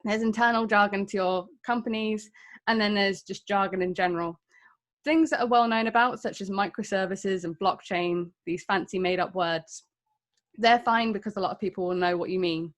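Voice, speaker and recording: An English woman in her thirties speaks to a conference crowd, frank and a little self-mocking. Her voice sits at an ordinary middle pitch, and her delivery stays chatty rather than formal. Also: normal speed. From England. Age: 30s. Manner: candid, self-deprecating, conversational. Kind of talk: tech talk to an audience.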